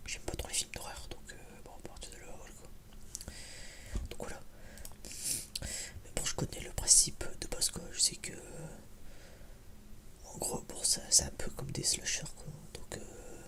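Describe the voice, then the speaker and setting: Male ASMR creator, whispered with some gravel, informal and hesitant reviewer chat. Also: medium pitch. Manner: hesitant, rambling, informal slang. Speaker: video creator giving a film review.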